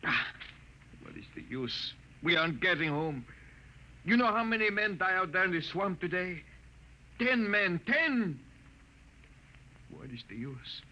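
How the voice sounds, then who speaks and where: A hopeless young Mexican laborer. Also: male voice, high-pitched, big pitch swings, unhurried, pauses often, bright, forceful tone, exaggerated voice. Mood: despairing. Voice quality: broken English, hopeless and plaintive. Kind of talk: 1950s radio drama acting. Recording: muffled old radio broadcast with hiss.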